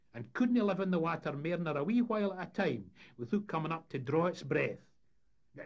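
Man playing an excitable Scottish deckhand, high-pitched. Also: dull audio. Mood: showman patter. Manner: enthusiastic, salesman-like patter. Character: eager, boastful young Scottish deckhand and showman. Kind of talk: storybook character voice in humorous tale.

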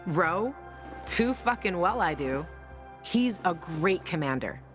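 Low-voiced, blunt young female fighter. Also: lively intonation, takes it slowly, faint music behind. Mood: matter-of-fact. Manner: blunt, swearing, conversational. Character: blunt, tough, foul-mouthed young woman fighter, wry and loyal. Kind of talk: video game dialogue acting.

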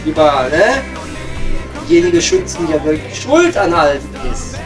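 Chatty pub-going miner, acted by a man, slightly high male pitch, Ruhr German, teasing improvised banter with music underneath. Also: big pitch swings, normal speed. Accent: Ruhr area German. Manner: colloquial, rambling banter. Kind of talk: improvised comedy audio play. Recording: prominent music behind the voice.

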